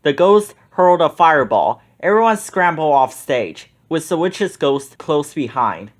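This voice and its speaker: A man narrating a children's storybook aloud with animated, expressive storytelling. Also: a little high, measured pace. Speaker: children's book reader.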